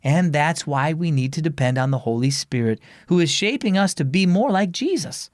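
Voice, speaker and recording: A gentle, encouraging mom in a children's story , voiced by a male narrator. The delivery is patient and instructive, with expressive intonation and a close, dry sound. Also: fairly high pitch, moderate pace, dry recording, natural voice.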